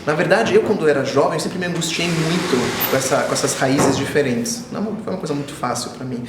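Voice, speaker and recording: A man in his forties, born in Israel and raised in Brazil, speaking as an author in an interview, reflective and often repeating himself, growing animated when he tells stories. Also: fairly high pitch, echoey room, background noise. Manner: thoughtful, circling back and repeating phrases, animated when telling stories. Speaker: children's author, interview guest.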